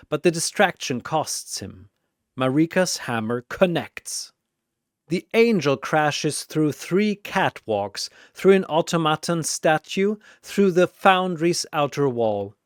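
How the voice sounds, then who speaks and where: A man's voice: a little high, dry recording, studio quality. A man narrating a scripted fantasy battle in a dramatic storytelling style.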